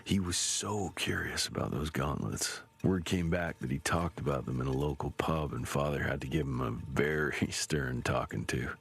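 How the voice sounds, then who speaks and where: Casting: gruff game monster hunter, extremely low pitch, husky rasp, dry and musing. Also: male voice, normal speed, few pauses, fairly bright tone, full character voice. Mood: wry, musing. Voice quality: gravelly, husky. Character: gruff, dry-humoured male vampire-hunting field agent.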